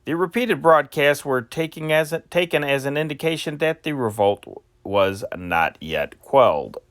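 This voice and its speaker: A man reading old newspaper reports aloud as an amateur newsreader, in a deliberate announcing manner with occasional stumbles. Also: on the high side, expressive, fairly bright tone, dry recording, clean studio sound.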